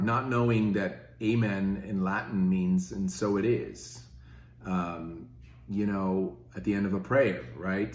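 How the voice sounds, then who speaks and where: A man's voice: fairly low pitch, darkish tone, roomy sound. A fifty-year-old man from Switzerland, talking casually and rambling with many fillers in his own opinion video. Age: 50s. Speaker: podcast host.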